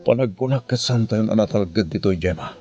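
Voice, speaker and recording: A man's voice: fairly low pitch, brisk, lo-fi sound, music in the background, lightly acted. A man playing a weary, remorseful fugitive in an audio drama, speaking in a subdued, earnest way. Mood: uneasy. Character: weary, remorseful male fugitive urging surrender. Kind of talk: amateur Ilocano audio drama acting. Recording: faint background noise.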